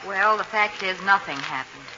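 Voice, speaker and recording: A woman's voice: medium pitch, normal speed, natural voice. A woman playing a genteel young lady sleuth in 1940s radio drama, polite, hesitant and dramatic. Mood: breezy. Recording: muffled, noisy 1940s radio recording.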